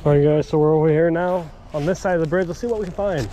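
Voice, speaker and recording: A man's voice: on the high side, quick pace, background noise. A man hosting a casual magnet fishing vlog, narrating his finds by a creek with an action camera. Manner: upbeat running commentary on finds. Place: outdoors by a creek under a bridge.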